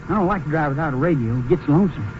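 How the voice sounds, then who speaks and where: Man acting chatty high-voiced teenage boy, old radio. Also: expressive, racing, close to an everyday voice. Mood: eager, nagging. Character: chatty teenage boy riding with a car thief. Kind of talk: 1950s radio drama acting. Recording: muffled old radio recording, faint noise.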